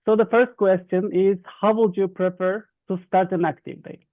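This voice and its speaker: A man with a Turkish accent explains instructions to an online group, halting and repeating himself in non-native English. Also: high voice, fairly even intonation, dry recording. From Turkey. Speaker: youth webinar facilitator. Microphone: video call. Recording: thin, dry phone-like online call.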